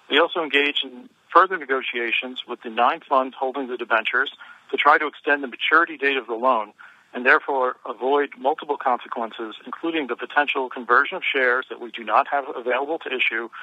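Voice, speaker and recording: A man reading a formal, measured prepared statement as a company chief executive on a conference call. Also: medium pitch, no echo. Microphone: telephone. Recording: dull telephone conference line.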